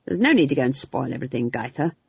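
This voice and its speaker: A stern, no-nonsense old witch, acted out by a woman reading a storybook, speaks bluntly and dismissively. The voice is husky and sharply varied in pitch, on a slightly dull recording. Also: sing-song, fast talker, darkish tone, no room sound, full character voice. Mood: dismissive, brusque. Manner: brusque, blunt, folksy. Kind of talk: audiobook character voice.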